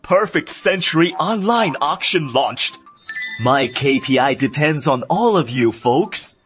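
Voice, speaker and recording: An excitable young author from an animated story, quick with exclamations. His voice is high and bounces around lively. Soft music plays behind him. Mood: cheerful. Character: excitable young male author with godlike powers. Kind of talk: anime dub acting.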